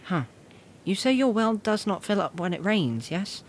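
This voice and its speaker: An earnest, well-mannered young boy in a period drama. The pitch moves up and down a great deal. The speech is hesitant and formal, with a shy eagerness. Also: sing-song, moderate pace, slight background noise. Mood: curious, earnest. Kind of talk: period audio drama acting.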